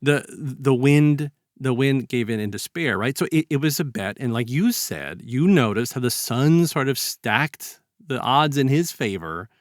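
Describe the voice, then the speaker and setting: A man hosting a casual, reflective discussion of literature, speaking in a halting, conversational way and animatedly acting out quotes as he retells. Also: mid-range voice, animated, dry recording, studio quality. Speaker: podcast host.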